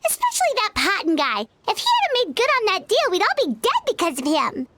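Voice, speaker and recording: An actress voicing a tiny, excitable flying female companion who talks about herself in the third person. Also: extremely high pitch, a little fast, dry recording, exaggerated voice. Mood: indignant. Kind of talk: video game voice acting.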